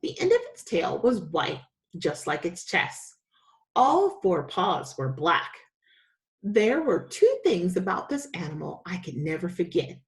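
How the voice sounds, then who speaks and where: A woman reading a children's story aloud as a teacher, with an expressive, dramatic storytelling delivery. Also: lowish voice, a little slow.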